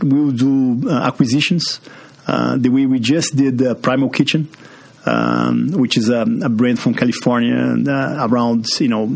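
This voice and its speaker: A man's voice: medium pitch, vocal fry. A man from Brazil with a Brazilian accent, a guest in a business podcast interview, speaking with many fillers and restarts. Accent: Brazilian Portuguese. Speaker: guest, marketing executive.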